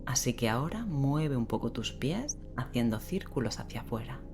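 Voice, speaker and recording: A woman leading a guided sleep meditation in a gentle, unhurried way with long pauses, giving slow, soothing instructions. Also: extremely low pitch, very animated. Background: relaxing rain sound and soft music.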